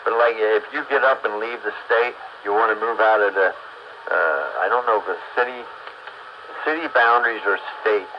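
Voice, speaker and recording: A man's voice: lowish voice, normal speed. An American man in casual conversation, talking in a rambling, agreeable way. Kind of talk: casual chat. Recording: dull lo-fi audio with clear background noise.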